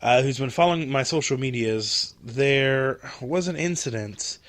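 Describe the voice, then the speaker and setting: Male podcast host, solo, monotone delivery with slight inflection, rambly, recovering from a cold, dead-dry room. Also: medium pitch, unhurried, dry recording. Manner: self-described monotone, rambling with many fillers. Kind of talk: casual solo podcast talk.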